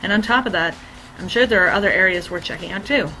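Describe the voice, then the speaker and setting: A woman with a slightly hoarse voice, voicing an earnest, easily flustered teenage boy student. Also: lowish voice, quick pace, faint music behind, rough recording. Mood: puzzled. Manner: reads game text aloud in a plain, bright tone.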